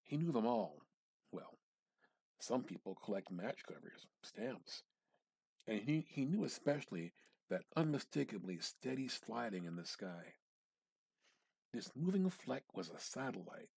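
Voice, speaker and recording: Man, slightly low husky voice, amateur dramatic story reading, measured delivery, drawn-out words, dry close sound. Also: a little mellow, dry recording.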